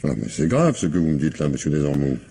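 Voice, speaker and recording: Sceptical male commissioner, mellow yet highly inflected, measured interrogator for radio drama. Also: big pitch swings, breathy edge, exaggerated voice. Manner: questioning, dry, measured. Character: probing, sceptical, unhurried male police commissioner. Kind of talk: radio mystery drama acting.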